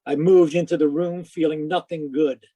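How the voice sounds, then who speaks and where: Male actor as wry private eye, fairly high voice, clipped wisecracks, old-time radio mystery. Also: fairly even intonation, moderate pace, mellow, husky. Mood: tense narration. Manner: clipped, wisecracking, matter-of-fact. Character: brisk, wry hard-boiled male private detective. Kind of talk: old-time radio detective narration and dialogue. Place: home, live video call performance.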